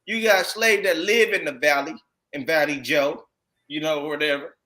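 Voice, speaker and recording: A man with an American accent and a fairly high-pitched voice teaches scripture informally. He speaks emphatically, circles back over his phrases and keeps checking in with tag questions as he exhorts his listeners. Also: moderate pace, sharp tone. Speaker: congregation teacher commenting on read text.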